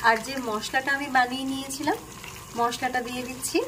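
High-voiced Bengali woman explaining a recipe. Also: fast talker, background noise. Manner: calm, plain instructional tone, listing ingredients. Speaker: cooking channel host. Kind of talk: step-by-step recipe narration. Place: home kitchen, by the stove.

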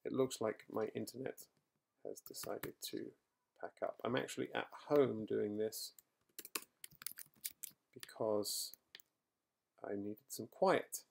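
A middle-aged man recorded at home, talking through a software tutorial as he types, with fillers and quick self-corrections. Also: lowish voice, fairly even intonation, creaky, slight background noise. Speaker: software trainer. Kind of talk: screencast coding tutorial. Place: quiet home room.

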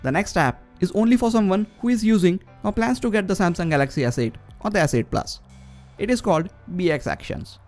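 Male tech narrator, high register, scripted review delivery with a light music bed. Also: lively intonation, a little fast, a little mellow. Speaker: tech video co-presenter. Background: background music.

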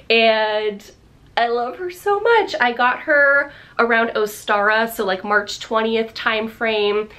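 A woman in her thirties vlogging casually from her home office, slightly hoarse after losing her voice, chatty and upbeat with lots of fillers. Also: mid-range voice, a bit bright. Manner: chatty, upbeat, many fillers and little laughs. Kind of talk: casual vlog chat. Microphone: camera on the desk facing her.

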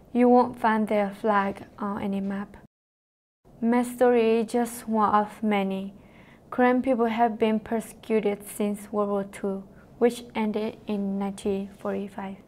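A woman's voice: mid-range voice, unhurried. Earnest teenage girl with a Karen accent. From Thailand. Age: teens. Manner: steady, earnest reading. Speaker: narrator. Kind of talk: scripted personal narration. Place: voice-over.

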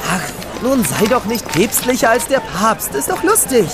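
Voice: medium pitch, big pitch swings, unhurried, music in the background. A performer playing an eager boy who loves knights and proudly shows off what he knows, in a children's audio drama. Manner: enthusiastic, showing off what he knows.

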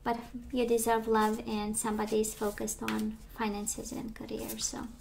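A woman giving a casual tarot reading, chatty and reassuring, her voice strained as she loses it and clears her throat. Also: fairly high pitch, monotone, normal speed, a little mellow, slight background noise, rough recording. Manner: chatty, reassuring, many fillers and restarts. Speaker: tarot reader. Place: indoors at a table of tarot decks.

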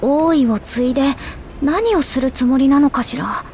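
A woman's voice: sits high, moderate pace, a little mellow, a little hiss or hum. An actress playing a forthright female character in a fantasy game, with a pointed, questioning manner. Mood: inquisitive.

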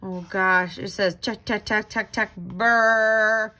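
A woman reading a children's picture book aloud in an expressive, dramatic, exclaiming way. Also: mid-range voice, slow pace, a little hiss or hum. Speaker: storybook reader.

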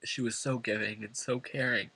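A man's voice: medium pitch, steady tone, normal speed, pushed and bright, husky, no room sound, poor audio. A man from New York State reading a prepared eulogy at a funeral, earnest and emotional. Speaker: son giving a eulogy. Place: funeral service before family and friends.

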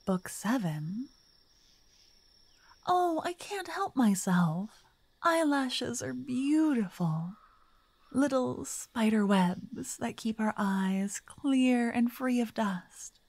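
A woman playing a playful, doting vampire writer in a soft ASMR roleplay, breathy and often whispering, with theatrical, old-fashioned wording. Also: fairly high pitch, animated, extremely slow, no echo, heavily acted. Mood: wistful, playful. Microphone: close, dry microphone.

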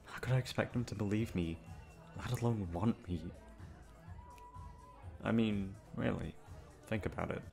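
A man plays a young playboy in an ASMR roleplay. His voice sits low with a lot of gravelly fry, and his informal talk swings between cocky swagger and shy, halting fluster. Faint music plays behind him. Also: a little mellow. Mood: flustered, self-doubting. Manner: informal, halting, tongue-tied around crush. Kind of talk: ASMR roleplay audio drama.

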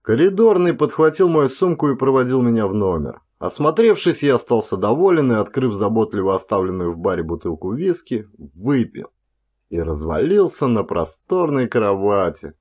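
Man narrating an audiobook with lively pitch swings. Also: moderate pace. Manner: expressive, acted-out storytelling. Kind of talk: first-person dramatic audiobook narration.

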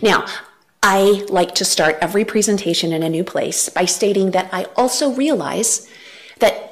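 A woman in her thirties giving an earnest church talk from a lectern, gesturing as she speaks, on a headset mic. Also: medium pitch. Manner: earnest, animated gestures, varied emphasis.

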